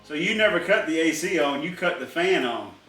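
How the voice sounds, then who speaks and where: A man's voice: on the high side, lively intonation, brisk, bright, forceful tone. Teasing man in a roomy, distant recording. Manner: teasing, wisecracking. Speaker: co-host of a home unboxing vlog. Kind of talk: casual joking banter. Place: indoors in a trailer home. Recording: roomy echo, off the mic, faint music.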